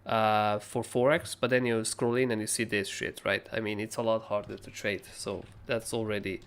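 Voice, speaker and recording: A young man with a hoarse, raspy voice, casually talking through trading charts as a livestream host, rambling and swearing freely. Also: fairly low pitch, flat delivery, moderate pace, a bit bright, slight background noise. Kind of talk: casual live chart analysis.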